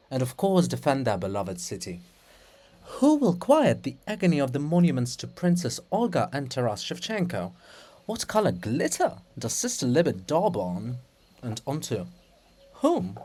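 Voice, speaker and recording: A person giving a dramatic reading of a play in a theatrical, deliberate manner, phrase by phrase. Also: mid-range voice, a little mellow. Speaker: reader of a play.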